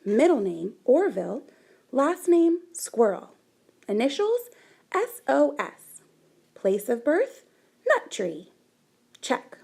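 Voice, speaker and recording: Animated, high-pitched woman reading a storybook. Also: gravelly, slightly rough. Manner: animated, deliberate, dramatic emphasis. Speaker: storybook reader. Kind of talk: expressive children's picture book read-aloud.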